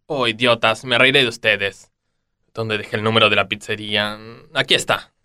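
A man's voice: fairly low pitch, steady tone, moderate pace, background noise, close to an everyday voice. A man playing a sly, lovestruck criminal dealer, suave and melodramatic, in a parody radio drama. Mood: gloating.

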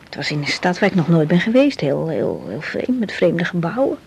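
An actress playing a troubled young woman doctor in a naturalistic 1980s radio drama, hesitant and halting. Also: on the low side, sing-song, gravelly, a little mellow, a little hiss or hum. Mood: uneasy, confiding. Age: young adult. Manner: hesitant, halting, repeating words.

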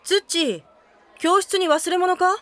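A woman voicing a brisk, frank schoolteacher in an anime audio drama, with plain, authoritative phrasing. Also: very high-pitched, fairly bright tone, dry recording. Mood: brisk, helpful.

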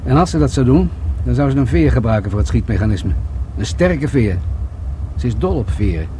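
An actor playing a musing, wry senior police detective in a Dutch radio drama, with a Netherlands accent and a conversational, hesitant delivery. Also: male voice, medium pitch, animated, fairly quick, lightly acted. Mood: reflective. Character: musing, fair-minded senior police detective, married man. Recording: radio play with loud street traffic noise.

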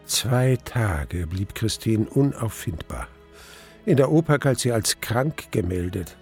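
Brooding, slightly gravelly male narrator. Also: fairly low pitch, animated, darkish tone, soft background music. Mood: subdued, relieved. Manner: measured, suspenseful storytelling. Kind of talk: first-person radio play narration.

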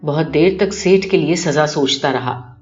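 A woman's voice: sits low, darkish tone. A woman reading a literary short story as scripted first-person audiobook narration. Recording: dull lo-fi recording with music behind. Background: clear music.

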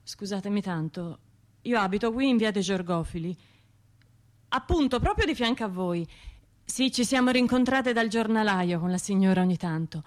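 An actress playing an anxious, self-doubting trauma survivor in a radio drama, talking to herself in broken, halting phrases with a Tuscan accent. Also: female voice, medium pitch, animated, natural voice. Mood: uneasy, hesitant. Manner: halting, fragmented, self-interrupting inner monologue.

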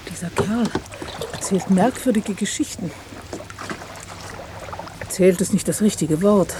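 An actress playing an inquisitive, spirited woman in a radio play, with a questioning, teasing, expressive manner. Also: mid-range voice, a little slow, noisy background, natural voice. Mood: puzzled, dismissive. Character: inquisitive woman, spirited old flame of a journalist.

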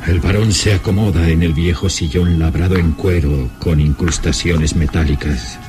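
Low-voiced theatrical male radio narrator. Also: fairly even intonation, moderate pace, over music. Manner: solemn, theatrical. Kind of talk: dramatic scripted radio serial narration.

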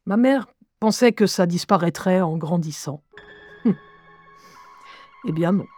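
Actress as young woman narrator, slow pace, introspective, wry, confiding, scripted audio drama, music behind. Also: on the low side, warm tone, no room sound. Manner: deliberate, confiding, dryly ironic. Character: wry, introspective young woman recalling her troubled childhood.

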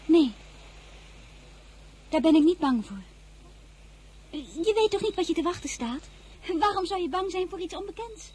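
An earnest, anxious merchant's daughter, high-pitched yet soft and mellow in tone. She hesitates and stammers when frightened, and sounds sincere throughout this radio play. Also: expressive, unhurried, long pauses. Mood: wistful. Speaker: lead actress and narrator. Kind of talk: radio drama acting.

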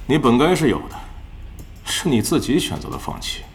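A man's voice: medium pitch, very animated, normal speed, a little hiss or hum. A man playing a stern, businesslike club manager in an audio drama, speaking in a cold, formal, official way.

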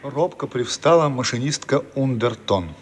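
An actor narrating stage directions for a radio play in a theatrical scripted style. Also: male voice, medium pitch, lively intonation, moderate pace, noisy background, close to an everyday voice. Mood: storytelling. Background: loud music.

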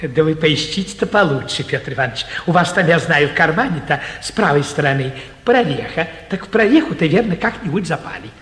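Earnest, fawning provincial gentleman; high, animated, halting, old theatre recording with hall echo. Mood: anxious, eager. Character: eager, humble, naive provincial busybody gentleman. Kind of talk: theatrical 1940s stage comedy acting. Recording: echoey 1949 theatre radio production.

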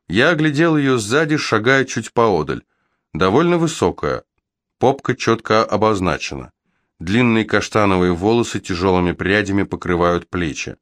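A man reading first-person literary fiction aloud as scripted narration, with expressive, wry delivery. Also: fairly low pitch, moderate pace, no echo, clean studio sound. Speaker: audiobook reader.

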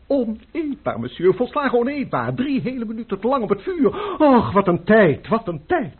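A pompous, fussy old French chef, played by a man in a vintage radio play. His voice swings wildly and sounds husky and breathy. The recording is thin and lo-fi, like an old broadcast. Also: very high-pitched, big pitch swings, normal speed, full character voice. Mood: indignant. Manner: pompous, dramatic outbursts. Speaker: chef Anselme.

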